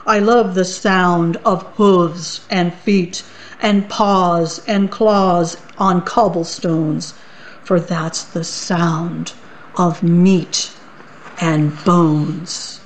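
A woman voicing a hungry, boastful male troll from a storybook, gloating in slow sing-song rhymes. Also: on the low side, steady tone, slight background noise. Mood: menacing.

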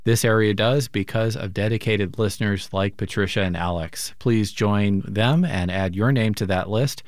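A male radio co-host making a pledge drive appeal and thanking listeners warmly. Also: lowish voice, moderate pace, no echo, clean studio sound.

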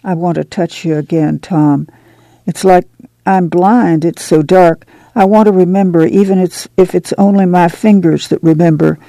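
A man's voice: high voice, fairly even intonation, normal speed, vocal fry, mellow, no room sound. A man voicing a worried older mother who is tender but firm, speaking in plain rural talk. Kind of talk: audiobook character reading.

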